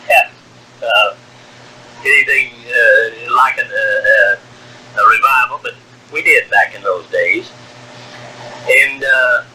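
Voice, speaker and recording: Slow-talking older man on hissy cassette. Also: medium pitch. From Wyoming, USA. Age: 60s. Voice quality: strong voice. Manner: slow, halting, many fillers and restarts. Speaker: narrator of own life story. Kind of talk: taped personal memoir. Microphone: cassette recorder. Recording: old home cassette tape with hiss.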